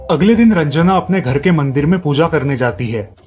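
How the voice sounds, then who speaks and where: A man's voice: a little high, expressive, normal speed, darkish tone, faint music behind. A man reading scripted narration for a moral family story.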